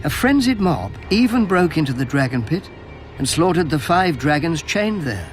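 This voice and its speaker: A man narrating fantasy history with measured, dramatic storytelling. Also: on the high side, sing-song. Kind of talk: scripted lore narration. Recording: music behind the voice.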